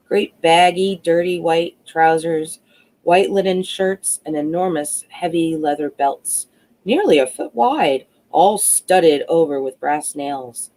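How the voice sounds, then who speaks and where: A woman reading a classic novel aloud as an audiobook narrator, expressive but a little halting in places. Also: fairly low pitch, low-quality recording. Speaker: audiobook reader.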